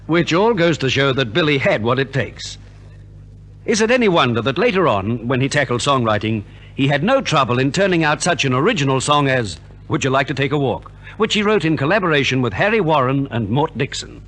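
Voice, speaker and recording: A man's voice: mid-range voice, animated, a little fast, fairly bright tone. A man narrating a scripted 1950s radio programme in a theatrical manner.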